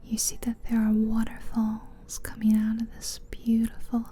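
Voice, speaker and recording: Slow whispering woman, guided relaxation. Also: fairly high pitch, monotone, mellow, soft background music. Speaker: ASMR host. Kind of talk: ASMR guided visualization. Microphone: close mic, ear to ear.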